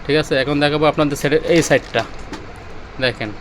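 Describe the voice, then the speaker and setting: Fast, higher-pitched Bangladeshi salesman. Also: fairly even intonation, a little hiss or hum. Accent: Bangladeshi Bengali. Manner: repetitive, pointing things out as he goes. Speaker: seller demonstrating used car audio-video equipment. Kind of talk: informal sales demo, off the cuff.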